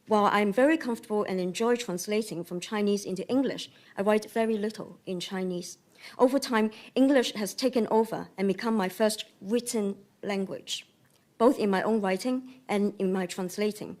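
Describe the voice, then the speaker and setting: A woman from Hong Kong, a native Cantonese speaker with a Hong Kong accent, reads a prepared talk as a panelist in a measured, carefully articulated way. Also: medium pitch, a little mellow. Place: public library.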